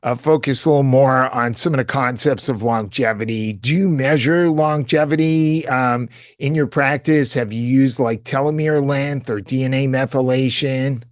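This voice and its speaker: Male interview host. Conversational questioner, average pitch, dry studio-like sound. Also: moderate pace, few pauses, dry recording. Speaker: interview host, health practitioner.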